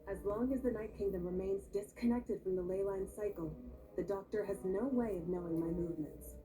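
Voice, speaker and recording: A mid-pitched voice of a self-possessed warrior leader played by a woman in game dialogue. She is calm, direct and sure of herself, with clear noise behind the words. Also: a little fast, natural voice. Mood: calm, serious. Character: composed, confident adult female war leader god. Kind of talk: scripted video game dialogue.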